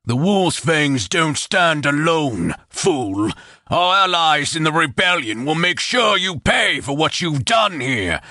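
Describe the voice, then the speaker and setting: A man's voice: fairly high pitch, sing-song, takes it slowly, sharp tone, no echo, clean studio sound, heavily acted. A man voicing a wounded, hateful male bandit chieftain who growls threats and begs in panic. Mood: menacing growl. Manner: growling, then pleading in panic.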